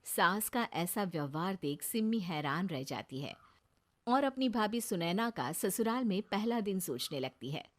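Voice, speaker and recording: A woman's voice: mid-range voice, lively intonation, a little slow. A woman reading scripted narration for a moral bedtime story.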